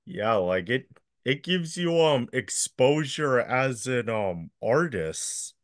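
A man's voice: medium pitch, very animated, slow pace, no echo, clean studio sound. A young adult man interviewing a singer over a video call, reading his questions with many ums. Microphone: video call, laptop webcam.

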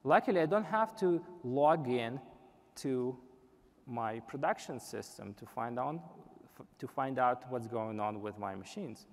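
A man's voice: mid-range voice, lively intonation, unhurried. A young man giving a live product demo on a conference stage, explaining earnestly with some restarts. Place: large indoor conference stage. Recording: reverberant conference hall.

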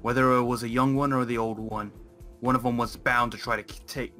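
A man's voice: mid-range voice, steady tone, brisk, sharp tone, soft background music, close to an everyday voice. A man acting an insistent, defensive werewolf pack leader in an amateur game roleplay. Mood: accusing, insistent.

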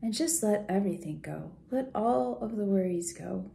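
A woman leading a guided visualization in a soft, gentle, soothing way, unhurried with pauses and at times breathy. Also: mid-range voice, lively intonation, a little hiss or hum. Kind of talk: guided meditation, soft slow instruction.

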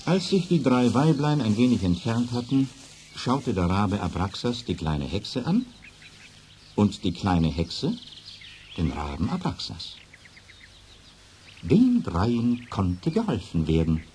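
A man narrating a scripted children's fairy tale as an expressive storyteller. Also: fairly low pitch, takes it slowly, pauses often, slight background noise.

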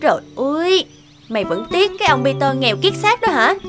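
A pushy young friend in a cartoon, brash and materialistic. The voice is high and chatty, over clear music. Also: female voice, very animated, a little fast. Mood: pushy scorn. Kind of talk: cartoon dubbing.

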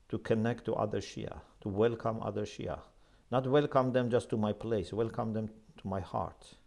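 Man, middle-aged, earnest lecturing, gravelly, lapel mic in a small office. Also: mid-range voice. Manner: earnest, emphatic, gestures with his hands. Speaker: Islamic scholar lecturing. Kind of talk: religious lecture.

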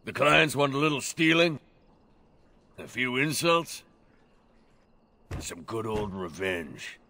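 An actor playing a crude, cheeky dwarf rogue in a video game, leering and drawling. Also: male voice, medium pitch, expressive, takes it slowly, bright, forceful tone, heavily acted. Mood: gleeful.

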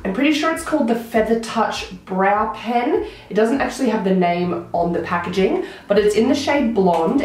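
A young woman with a croaky voice, chatting enthusiastically through a makeup tutorial to a close camera in a small room. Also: mid-range voice, a little mellow. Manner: chatty, enthusiastic product talk. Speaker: beauty vlogger. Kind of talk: casual makeup tutorial chat. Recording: very echoey room.

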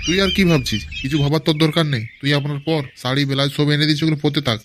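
A man's voice: a little high, steady tone, rapid-fire, very noisy. A man voicing a foul-mouthed village father in a cartoon, grumbling and suspicious.

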